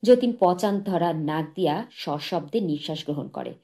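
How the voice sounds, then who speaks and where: A woman narrating a literary short story as an audiobook, reading in a measured, solemn manner. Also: deep voice, animated, dark tone.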